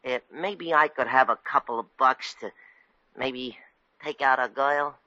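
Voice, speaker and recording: An actor playing a naive, slow-witted, hesitant grown-up son in a 1940s radio comedy. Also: male voice, medium pitch, sharp tone, no room sound. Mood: sheepish. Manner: hesitant, simple-minded, drawling slang. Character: dim, shy, naive grown-up son of a crook. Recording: dull vintage radio recording.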